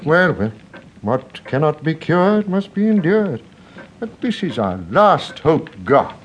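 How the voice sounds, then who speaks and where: An actor playing a kindly, absent-minded older English country rector in a radio drama, fussy and effusive, often hesitating and repeating himself. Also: male voice, on the high side, big pitch swings, slow pace, slight background noise. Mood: dismayed.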